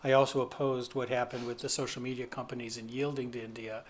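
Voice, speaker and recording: A man's voice: mid-range voice, flat delivery, fast talker. A man on a conference panel, answering animatedly with self-deprecating asides. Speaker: panelist, free speech commentator. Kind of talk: panel discussion. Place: conference hall stage.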